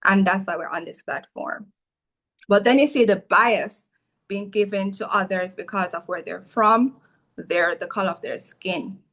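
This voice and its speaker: A woman from Jamaica speaks with a clear Jamaican accent and a bright, forward tone. She answers as a guest attorney, telling her story earnestly and at a measured pace, correcting herself mid-sentence as she goes. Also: medium pitch. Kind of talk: interview answers.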